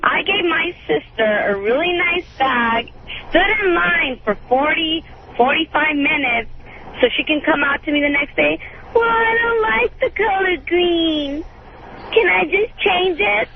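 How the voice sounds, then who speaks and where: A woman's voice: very high-pitched, expressive, unhurried. A woman calling a radio show, venting casually in an exasperated, sarcastic, colloquial way. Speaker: radio call-in guest. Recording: dull phone line, clearly noisy background.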